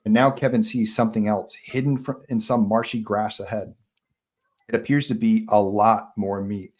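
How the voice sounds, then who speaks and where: A man with a fairly low voice reads screenplay action and scene headings aloud. He narrates for a live table read. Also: flat delivery, moderate pace, a little mellow. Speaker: narrator reading stage directions.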